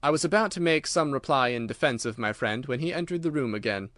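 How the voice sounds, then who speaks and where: A man's voice: medium pitch, expressive, fast, fairly bright tone, no echo, studio quality. A man reading scripted literary narration as an audiobook narrator.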